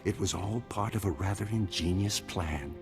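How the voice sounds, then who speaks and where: A smug villainous surgeon for a video game. The voice is lowish with a heavy gravelly creak, and every line is delivered with slick, mocking politeness over prominent music. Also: male voice, moderate pace. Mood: smugly pleased. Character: smug amoral male surgeon-scientist, a glib collaborator villain. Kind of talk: scripted video game cutscene acting.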